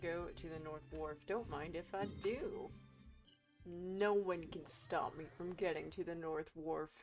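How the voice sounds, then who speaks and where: A woman's voice: lowish voice, measured pace, darkish tone, faint music behind. A woman streaming a game with casual, playful and irreverent commentary.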